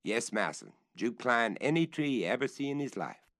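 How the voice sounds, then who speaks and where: A man voicing an old servant who calls down from high in a tree in a strained, high voice with thick rural dialect. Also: sing-song, normal speed, fairly bright tone. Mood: calling, wary. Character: old male servant calling down from a treetop.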